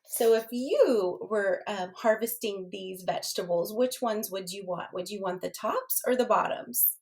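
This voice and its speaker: Slow-paced woman reading a children's story aloud. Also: medium pitch. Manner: expressive, unhurried, pausing to question the listeners. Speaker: teacher reading aloud to their class. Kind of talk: storybook read-aloud for children with asides and questions.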